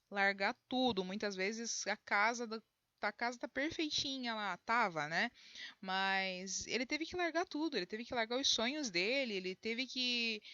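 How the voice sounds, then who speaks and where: A woman from Brazil, a high school geography teacher, explaining a lesson in a conversational way with frequent tag questions and restarts. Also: sharp tone, no echo, lo-fi sound. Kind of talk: recorded online lesson.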